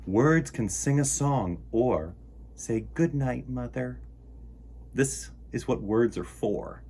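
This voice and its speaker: A man's voice: mid-range voice, expressive, takes it slowly, dark tone, breathy edge. A man hosting a children's storytime, reading aloud and chatting expressively to young listeners in an enthusiastic, encouraging manner. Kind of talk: expressive read-aloud and chat addressed to children.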